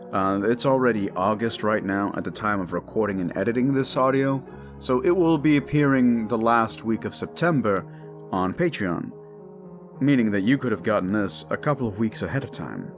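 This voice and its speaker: A man hosting his own audio channel, talking casually and directly to his listeners in an outro. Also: fairly low pitch. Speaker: audio roleplay creator and host.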